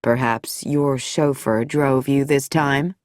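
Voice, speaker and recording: A woman voicing a smooth, coolly amused middle-aged male doctor who speaks in a measured, quietly mocking way. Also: extremely low pitch, fairly even intonation, no room sound, clean studio sound, voice pushed down. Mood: suave, amused.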